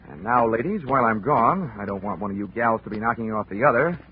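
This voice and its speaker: A man's voice: fairly low pitch, fast talker, slight background noise, natural voice. Hard-boiled male investigator, played by an actor: dry wit, takes charge, 1940s-style radio drama, muffled broadcast. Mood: wry, commanding. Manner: wry, deadpan, commanding. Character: wisecracking, unflappable male insurance investigator. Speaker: detective lead and narrator. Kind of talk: old-time radio detective acting, hard-boiled narration.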